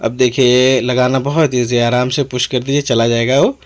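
A man's voice: mid-range voice, level tone, normal speed. A man with an Indian accent giving an informal product demonstration, explaining in a repetitive way and mixing in English words. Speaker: tool seller demonstrating products.

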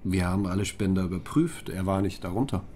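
Deep-voiced, probing male detective played by an actor. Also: fast, dark tone, slight background noise, close to an everyday voice. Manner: direct, questioning. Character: probing, businesslike male police investigator. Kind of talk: radio crime drama interrogation.

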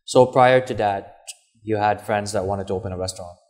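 A man's voice: on the low side, fairly quick, a little mellow. Young male host with a Filipino accent. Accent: Filipino English. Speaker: interview host. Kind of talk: casual interview. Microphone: lapel mic.

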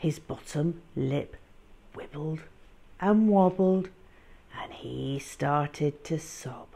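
A woman storyteller reading a picture book expressively, with a dramatic, drawn-out delivery for young children. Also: low voice, gravelly, dark tone.

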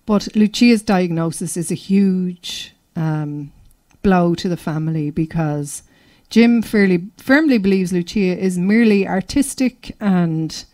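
A woman's voice: on the low side, measured pace, a little mellow. A middle-aged Irish woman giving a conversational literary talk as an author. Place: indoor library room before a seated audience.

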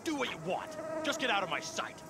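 Stern nomad boss man in a game, forceful, swingy pitch, fed up, bossy. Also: sing-song, brisk, gravelly, a little hiss or hum, exaggerated voice. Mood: cold, commanding. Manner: commanding, confrontational. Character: stern, exasperated male nomad clan leader. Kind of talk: cinematic video game voice acting.

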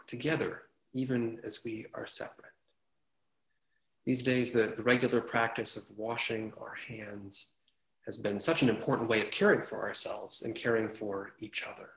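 A man in his thirties, a pastor, giving a calm, questioning sermon reflection over a video call from a room at home. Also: mid-range voice, unhurried, roomy sound, poor audio. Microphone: earphone mic on a video call.

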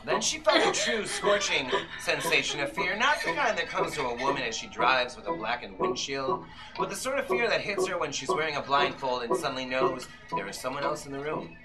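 Male narrator, thirties, medium register, suspenseful and deliberate radio-play storytelling, live under loud instrumental music. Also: medium pitch, expressive, rough recording. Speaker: author reading his own novel. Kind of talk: dramatic narration, old-time radio play. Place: magazine-lined shop, indoors, small audience. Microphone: camera in the audience, some distance away. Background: live violin and keyboard music.

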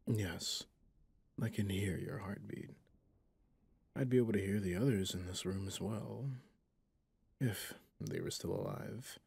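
A man playing a stern, haunted, centuries-old vampire hunter in an ASMR roleplay, speaking with slow menace in a breathy, gravelly voice that often drops to a whisper. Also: fairly low pitch, a little mellow, dry recording, exaggerated voice. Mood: cold, threatening. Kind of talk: ASMR roleplay monologue to the listener.

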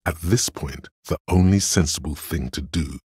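A man voicing Logic as the calm, cerebral inner voice of a detective in a video game, measured, dry and analytical, with a raspy voice. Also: no echo, full character voice. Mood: dry, reasoning. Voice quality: very deep, gravelly rasp.

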